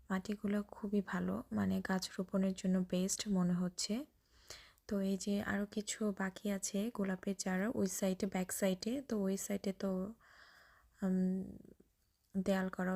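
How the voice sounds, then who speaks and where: A woman's voice: medium pitch, darkish tone. A woman narrating a casual vlog, describing each action as it happens and often repeating herself. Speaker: vlogger.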